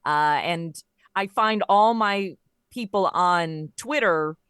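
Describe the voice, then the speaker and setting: Female podcast guest, middle-aged, pitched a bit low. Conversational, wry humor, very dry sound. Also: takes it slowly, fairly bright tone, smooth, no room sound. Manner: wry, joking. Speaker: podcast guest, audio comedy creator. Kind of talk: casual conversational interview.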